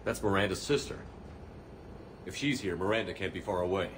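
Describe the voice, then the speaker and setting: An actor playing a blunt, hard-edged commander in a video game. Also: male voice, sits low, brisk, noisy background, natural voice. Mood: determined.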